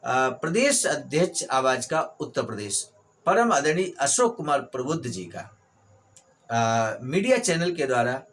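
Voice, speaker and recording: A man's voice: medium pitch, fairly bright tone, slight background noise. A man introducing a report in a formal, announcing voice-over style. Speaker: channel presenter.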